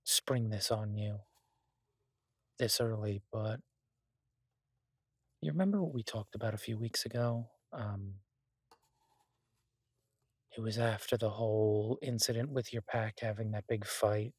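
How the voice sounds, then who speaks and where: A man playing a laid-back, idealistic chef in an audio roleplay, talking casually with hesitant pauses and mild swearing. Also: fairly low pitch, no room sound, clean studio sound. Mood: tentative, confiding. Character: laid-back, idealistic male chef, devoted to honest food. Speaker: chef and restaurant owner character in an audio roleplay. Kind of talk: intimate conversational audio roleplay.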